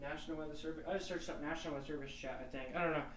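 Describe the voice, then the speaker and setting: A man's voice: a little high, fairly even intonation, racing, roomy sound, recorded from a distance, background noise. A young man, a weather forecaster giving casual, rambling live storm commentary on a stream.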